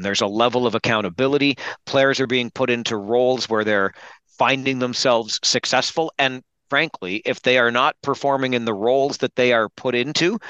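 A man who works as a hockey broadcaster, giving articulate, measured analysis as a podcast guest. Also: medium pitch, pushed and bright, dry recording. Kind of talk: sports podcast interview analysis.

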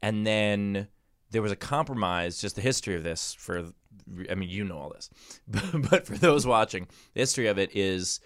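A male podcast host with a low, clean and resonant voice, recorded dry in a studio. He talks in a casual, conversational way, often restarting a thought while he works out how to explain it. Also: expressive, normal speed, smooth, no echo.

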